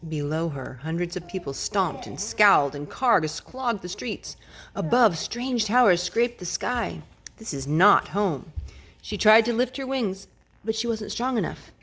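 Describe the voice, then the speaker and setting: A woman's voice: mid-range voice, measured pace, fairly bright tone, husky. A woman reading a children's storybook aloud as a storyteller, with dramatic, emphatic phrasing.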